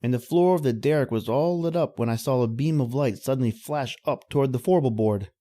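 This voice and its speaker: A man's voice: mid-range voice, brisk, mellow, a little hiss or hum, lightly acted. A man playing a plain-talking, easygoing oil rig worker in an old-time radio horror drama, with a folksy, colloquial storytelling manner.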